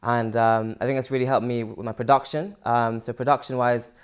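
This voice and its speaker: A man's voice: mid-range voice, fairly even intonation, a little fast, smooth. A young man from Sri Lanka, answering interview questions casually with many fillers and restarts. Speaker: musician guest.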